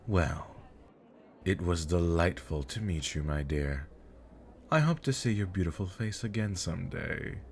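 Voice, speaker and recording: Suave demon villain brother for audio roleplay, male actor: deep, swooping, mock-polite and flirtatious, over faint noise. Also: lively intonation, unhurried. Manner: drawling, mock-courteous, flirtatious. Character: smug, taunting demon older brother, suave romantic rival. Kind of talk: ASMR roleplay villain acting.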